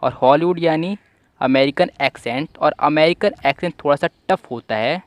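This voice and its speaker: A young man hosting an online English-listening lesson, giving repetitive advice in a steady explanatory voice-over. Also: fairly high pitch, normal speed, no room sound. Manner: repetitive, advising tone. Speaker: English-learning channel host.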